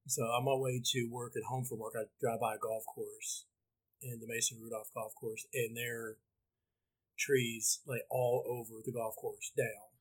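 A man's voice: medium pitch, moderate pace, low-quality recording. A man hosting and producing a podcast, chatting easily and backing his guest with mm-hmms. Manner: flat, easygoing, many mm-hmms. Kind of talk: casual podcast chat.

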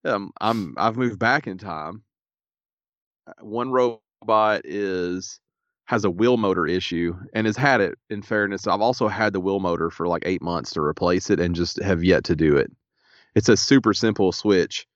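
A man, co-hosting a podcast in casual chat, a little stuffy and raspy, laid-back with dry humor. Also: low voice, normal speed, no echo, lo-fi sound. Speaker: podcast co-host.

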